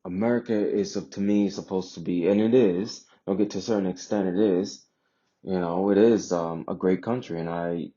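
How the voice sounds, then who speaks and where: A man's voice: sits low, steady tone, normal speed, mellow. A man from Jamaica giving a casual opinion monologue, emphatic, repeating phrases and posing rhetorical questions in slightly muffled audio. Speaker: vlogger sharing personal views.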